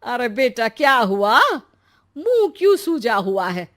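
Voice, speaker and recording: A woman's voice: a little high, sing-song, measured pace, a bit bright. A woman voicing an exclamatory, teasing middle-aged mother in a cartoon story. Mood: teasing concern.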